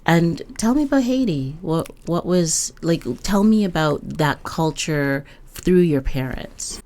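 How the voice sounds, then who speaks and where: A woman's voice: on the low side, animated, a little slow, a little mellow. A woman hosting a conversational interview, reflective and probing, building her questions gradually. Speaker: host, interviewer.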